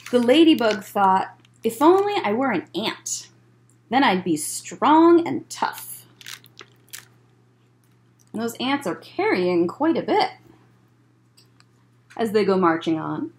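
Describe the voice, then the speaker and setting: A woman in her thirties reading a picture book aloud as a children's librarian, in measured phrases with chatty asides. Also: on the high side, very animated, a little mellow. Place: library room, indoors.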